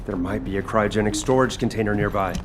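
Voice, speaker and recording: A man playing an earnest soldier who loves cooking in a video game, expressive and a little hesitant. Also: fairly low pitch, fast talker, music in the background, lightly acted. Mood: curious.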